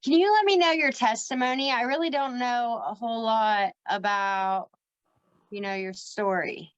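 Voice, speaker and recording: A woman's voice: fairly high pitch, moderate pace, lo-fi sound. A woman speaking as a podcast host, introducing and questioning a guest in a casual interview style.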